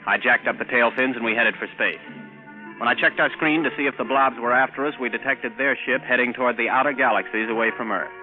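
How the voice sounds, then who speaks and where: A man's voice: medium pitch, fast, phone-quality sound, close to an everyday voice. An actor playing a plucky, wry male space captain who narrates his adventure in 1950s radio drama style. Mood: narrating. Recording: band-limited vintage radio recording with music.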